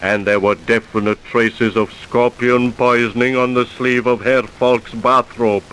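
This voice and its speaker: A man's voice: medium pitch, steady tone, unhurried, a bit bright, lightly acted, rough recording. A man playing a methodical scientist professor in an old radio drama, humming and mumbling "mm" and "uh" as he explains. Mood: explaining. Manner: mumbling "mm" and "uh", wry asides. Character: older male scientist professor, methodical and dry. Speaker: forensic professor. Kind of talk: 1940s radio drama acting. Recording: hissy old radio recording.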